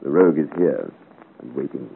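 A man's voice: rumbling low, very animated, a little fast, narrow, tinny audio, heavily acted. An actor playing an obsequious, efficient servant of a nobleman, speaking formally and deferentially in 1950s radio serial style. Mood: eager to please. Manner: deferential, smooth-worded, formal. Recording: thin, noisy old radio recording.

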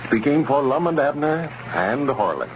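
An American man announcing in formal, measured 1930s radio style, reading scripted copy. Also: mid-range voice, big pitch swings. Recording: muffled, noisy 1930s radio recording.

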